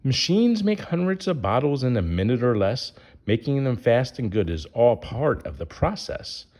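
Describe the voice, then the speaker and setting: A man's voice: mid-range voice, sing-song, moderate pace, a little mellow. A man reading a rhyming children's picture book aloud in a sing-song way, putting questions to young listeners.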